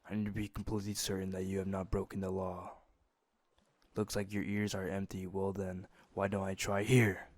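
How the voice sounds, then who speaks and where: A man playing a predatory, bullying police officer in a fan dub, speaking deliberately with menacing condescension. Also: deep voice, completely flat delivery, darkish tone, dry recording. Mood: cold, intimidating. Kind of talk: fan-dub dramatic acting.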